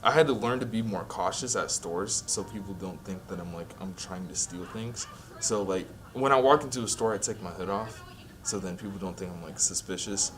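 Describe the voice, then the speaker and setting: Low-voiced young man over clear music. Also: normal speed, fairly bright tone. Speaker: interviewee. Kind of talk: casual personal interview talk. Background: clear background music.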